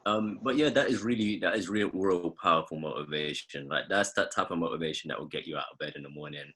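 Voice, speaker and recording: Very deep-voiced man, husky. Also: fast talker, bright, forceful tone. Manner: hesitant, many ums and restarts. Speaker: podcast co-host. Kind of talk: casual podcast chat.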